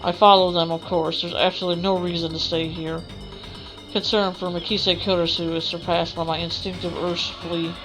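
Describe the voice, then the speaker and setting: A woman reads story text from a visual novel for a let's play. Her voice sits low and moves little in pitch, and she slips into casual comments. Faint game music plays behind her. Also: flat delivery, fairly quick, low-quality recording. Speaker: gamer doing a let's play. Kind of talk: reading visual novel narration aloud with casual asides.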